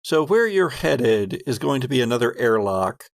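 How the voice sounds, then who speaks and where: A man working as game master, narrating a tabletop game in a measured, descriptive, pausing way. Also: mid-range voice, sing-song, vocal fry, no room sound, studio quality.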